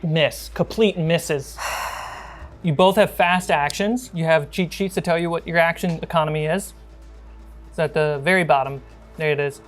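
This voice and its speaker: A woman's voice: deep voice, normal speed. A woman running a tabletop role-playing game as game master, mixing scene narration with casual, playful table talk. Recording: music behind the voice. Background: music.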